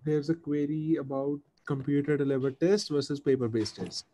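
A woman's voice: deep voice, normal speed, noisy background. A woman co-host who relays a viewer's question briefly.

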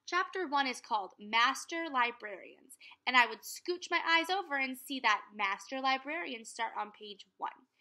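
Bright young woman teacher reading aloud. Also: on the high side. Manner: animated storytelling, explaining words as she reads. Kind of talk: read-aloud lesson for children. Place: living room at home. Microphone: laptop webcam at close range.

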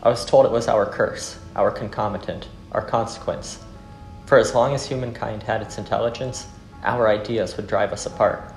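A man playing a weary, brooding former soldier turned fisherman in a visual novel, speaking in a solemn, earnest, measured way. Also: lowish voice, steady tone, vocal fry. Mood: grave, brooding. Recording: echoey room under music.

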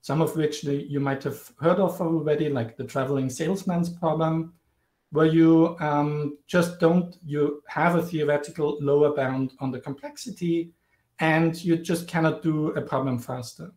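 Austrian guy giving an online lecture, clear and a bit jokey, echoey call audio. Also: fairly high pitch, fairly even intonation, normal speed, darkish tone. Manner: clear, explanatory, lightly humorous. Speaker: guest lecturer, professor. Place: indoors, home or office, virtual background. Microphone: video call webcam. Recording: roomy video call audio.

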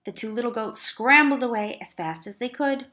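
A woman with a fairly high voice narrates a children's picture book. She reads like a teacher, expressively, placing careful emphasis on words for young listeners. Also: normal speed, pushed and bright. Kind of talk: children's read-aloud narration.

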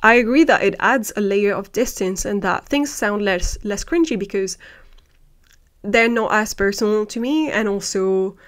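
A woman's voice: medium pitch, moderate pace. A young woman whose first language is not English, talking animatedly and thoughtfully into a close desk microphone in a home room. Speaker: vlogger. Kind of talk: casual chat, reading out viewer answers. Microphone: desk microphone close to the mouth.

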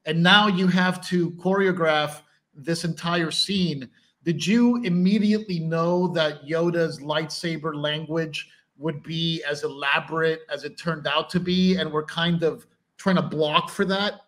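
A man's voice: fairly high pitch, steady tone, unhurried, fairly bright tone. A man hosting a podcast over a video call, asking eager, enthusiastic questions. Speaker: podcast host. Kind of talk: casual video call interview.

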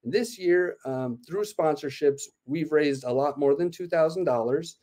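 A man's voice: a little high, a little slow, dark tone. Upbeat man on a video call. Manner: upbeat, explanatory, frequent "uh" fillers. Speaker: event organizer guest. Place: home room. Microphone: laptop webcam on video call.

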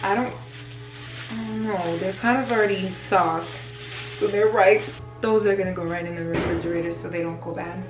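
A woman's voice: on the low side, fairly even intonation, normal speed. A young woman in her twenties chatting playfully to the camera in a casual grocery haul vlog, filmed in a small home kitchen on the camera's own mic. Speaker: vlogger. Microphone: camera mic at close range. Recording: echoey kitchen with faint music.

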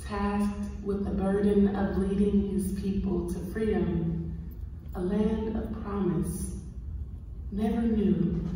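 A woman poet reciting spoken word with deliberate short phrases and weighted pauses, heard over theater speakers from the audience. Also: medium pitch, mellow, a little hiss or hum. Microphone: phone in the audience, far from the speakers. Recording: echoey theater screening recorded from far off.

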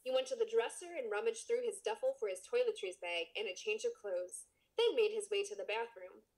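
A woman's voice: on the high side, a little fast, darkish tone. A woman podcast co-host reading a book aloud and chatting casually, with playful, mock-dramatic asides.

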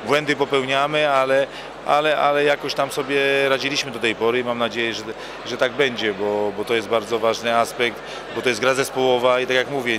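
Football coach answering at a noisy gala. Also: male voice, medium pitch. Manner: repeats words when starting phrases. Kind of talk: interview answers. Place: hotel bar at an evening gala, indoors. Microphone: handheld mic held by interviewer.

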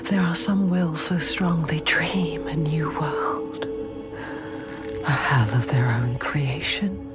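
A solemn female spirit narrates very slowly in a lowish, mysterious voice. The storytelling is measured and ominous, dramatic game narration over loud music. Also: steady tone.

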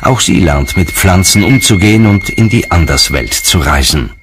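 A man's voice: deep voice, animated, normal speed. A man reading scripted narration for a documentary. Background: music.

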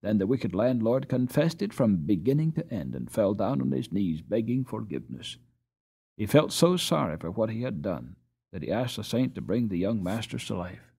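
A man's voice: lowish voice, sing-song, moderate pace, gravelly, dark tone, husky, full character voice. A man voicing a bustling, talkative Dutch mother in an audiobook, telling things in an emphatic, breathless, run-on way. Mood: animated, urgent. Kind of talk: audiobook character reading.

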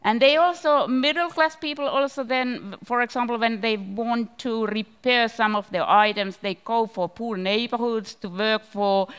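A woman's voice: on the high side, measured pace, hardly any pauses, fairly bright tone, clear, resonant tone. A woman on a discussion panel, explaining her point with some hesitation. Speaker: panel guest.

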